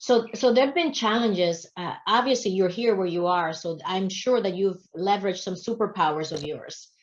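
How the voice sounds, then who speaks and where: A woman's voice: mid-range voice, moderate pace. A woman in her forties moderating a panel over a video call, affirming and summing up her guests' stories. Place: home office with bookshelves. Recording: dull lo-fi video call audio.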